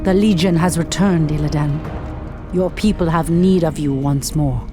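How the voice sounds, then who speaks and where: A woman's voice: sits low, normal speed, music in the background, close to an everyday voice. A woman playing a resolute, noble night elf leader in a fantasy game, earnest and authoritative. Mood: urgent. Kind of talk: fantasy game cutscene acting.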